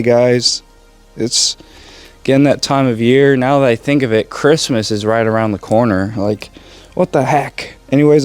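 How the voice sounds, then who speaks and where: Young male car vlogger, slightly sick and hoarse, loose joking delivery, handheld camera. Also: medium pitch. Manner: loose and joking, addresses viewers as guys. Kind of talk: casual vlog chat. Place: home bedroom, then cold outdoor garage.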